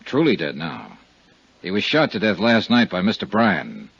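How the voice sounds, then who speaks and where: A man's voice: low voice, wildly swooping pitch, normal speed, creaky, fairly bright tone, breathy edge, no room sound, heavily acted. An American man acting a shrewd, world-weary insurance investigator who narrates in a 1950s radio detective drama. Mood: stern, weary. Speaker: lead actor and narrator. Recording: muffled 1950s radio recording.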